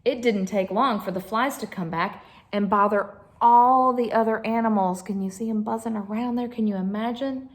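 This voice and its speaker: A woman's voice: fairly high pitch, steady tone, moderate pace, few pauses. A woman reading a picture book aloud to children as a storyteller, expressively, with chatty asides to her listeners.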